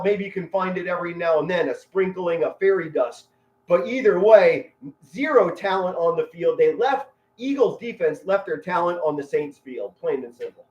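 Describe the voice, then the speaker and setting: A man hosting a live sports play-by-play stream, talking as a loud, excitable fan with dramatic, colorful phrasing and emphatic pauses. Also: sits high. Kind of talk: loud, excitable fan commentary mixed with chat. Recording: roomy, echoey, dull lo-fi stream audio.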